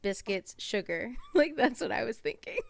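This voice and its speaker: A woman's voice: on the high side, lively intonation, moderate pace, creaky, no echo. A woman co-hosting a podcast, chatting casually, joking and asking playful questions. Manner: playful, jokey, giggly interjections. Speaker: podcast co-host. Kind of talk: casual chat.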